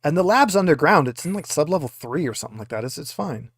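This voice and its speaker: A man voicing a haughty, impatient corporate woman liaison in a tabletop game, talking down to people in an informal, halting way. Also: fairly high pitch, animated, husky, no room sound. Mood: breezy, impatient. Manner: dismissive, condescending, hesitant informal delivery.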